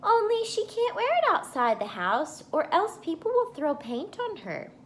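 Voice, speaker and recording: A woman's voice: extremely high pitch, big pitch swings, moderate pace, pitched above the performer's own voice. A woman voicing a haughty, know-it-all little schoolgirl in a children's storybook, matter-of-fact and superior. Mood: proud, matter-of-fact. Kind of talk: children's storybook read-aloud.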